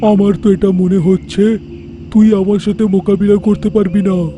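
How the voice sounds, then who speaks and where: A man's voice: high voice, flat delivery, warm tone, slight background noise, close to an everyday voice. A man voicing a bold, crafty, boastful elephant in a cartoon, with a swaggering manner. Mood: boastful, taunting. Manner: taunting, swaggering, deliberate. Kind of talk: animated cartoon voice acting.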